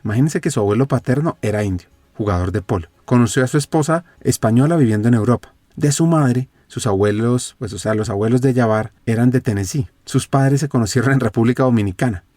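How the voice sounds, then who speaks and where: A man's voice: medium pitch, quick pace, hardly any pauses, dark tone, no room sound. A podcast host narrating a guest's background and asking questions, speaking straight to his listeners. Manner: storytelling, addressing listeners directly. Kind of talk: scripted narration and interview questions.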